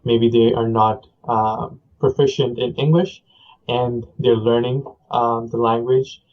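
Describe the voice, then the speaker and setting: A young man from India, a native Punjabi speaker, talks through his answers slowly and thoughtfully, pausing on fillers and restarting his sentences. His voice stays level and even, and the recording sounds dull and lo-fi. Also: medium pitch. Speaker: guest. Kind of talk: conversational interview. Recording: dull lo-fi audio.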